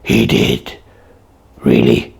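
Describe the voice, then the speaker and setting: A woman voicing a gruff, dim, curious sock puppet sidekick in a comic puppet show, with a husky voice and a halting, deadpan, questioning manner. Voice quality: husky, gravelly.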